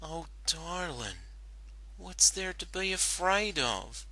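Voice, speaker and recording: A man's voice: sits high, lively intonation, slow, pushed and bright, dry recording, voice pushed up, exaggerated voice, rough recording. A man voicing a caring, plain-spoken female cartoon farm pony in a folksy manner for a fan-fiction reading. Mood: gentle, soothing.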